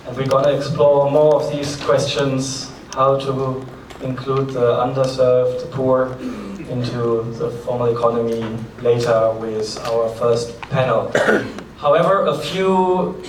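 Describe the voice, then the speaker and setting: A man's voice: mid-range voice, fairly even intonation, unhurried, far from the mic. Brief: German-accented male conference host, chatty and practical, echoey hall, faint background noise. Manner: chatty, practical, light asides. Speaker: forum host. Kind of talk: conference opening remarks.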